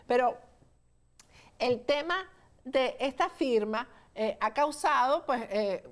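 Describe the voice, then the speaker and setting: A woman hosting a political interview, direct and firm in stating her own opinion. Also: fairly high pitch. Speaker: host.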